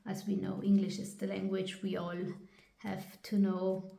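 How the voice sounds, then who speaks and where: A woman with a German accent, a native German speaker, giving a tarot reading in a halting way with many pauses and restarts. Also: mid-range voice, monotone, creaky, dark tone, reverberant. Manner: hesitant, halting with frequent ums and restarts. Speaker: tarot reader.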